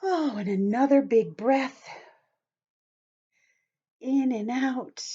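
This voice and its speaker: A woman teacher leading young children through a calming drawing activity, speaking gently and encouragingly straight to them. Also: sits high, very slow, slightly rough. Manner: gentle, encouraging, talks directly to kids. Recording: dull lo-fi home video sound.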